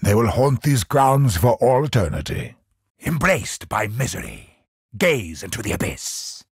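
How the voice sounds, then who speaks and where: An actor playing a brooding, tormented scholar of godlike power in a video game, speaking gravely and theatrically in measured phrases. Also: male voice, medium pitch, sing-song, dry recording, clean studio sound, exaggerated voice. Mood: menacing, ominous. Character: brooding male scholar turned godlike, tormented cosmic villain. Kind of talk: dramatic scripted video game monologue.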